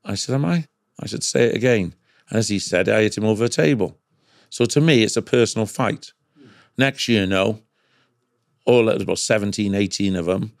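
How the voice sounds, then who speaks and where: A man's voice: fairly low pitch, fairly quick, no room sound, clean studio sound. A middle-aged man with a Cardiff Welsh accent telling casual anecdotes as a podcast guest, emphatic and repetitive, often checking in with "right?". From Cardiff, Wales.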